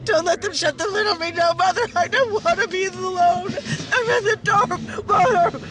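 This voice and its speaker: An actress playing a terrified young woman trapped in the dark, pleading tearfully in a radio horror drama. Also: extremely high pitch, normal speed, a loud crowd. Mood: desperate terror.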